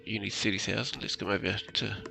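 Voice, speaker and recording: A man's voice: fairly low pitch, flat delivery, fast talker, creaky, pushed and bright. A man giving casual commentary as he plays a video game, muttering to himself and often tagging on "eh?". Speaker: gamer commentating a playthrough. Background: game music.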